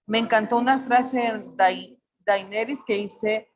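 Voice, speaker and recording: A woman in her forties from El Salvador, with a Salvadoran accent tinged with Mexican, speaking as a poet on a video call. She talks thoughtfully, searching for words and filling pauses often. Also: on the high side, steady tone. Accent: Salvadoran Spanish mixed with Mexican. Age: 40s. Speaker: poet on a panel. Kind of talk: reflective personal talk.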